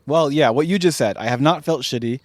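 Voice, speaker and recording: Male podcast co-host, mid pitch, a bit hoarse, dry wit, dead-dry close mic. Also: a little fast, no echo. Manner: dry, teasing humor. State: hoarse, recovering from a cough. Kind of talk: casual chat.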